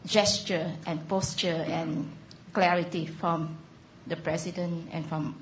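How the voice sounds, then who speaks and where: Female audience questioner, polite and deliberate, slightly low register, roomy sound with faint background noise. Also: steady tone. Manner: deliberate, polite, pausing between phrases.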